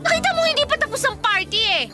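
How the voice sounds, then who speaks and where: A woman's voice: very high voice, lively intonation, quick pace. A woman playing a drunk, lovesick and hot-tempered young woman in a Tagalog radio drama, whiny and defiant. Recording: music bed behind the dialogue.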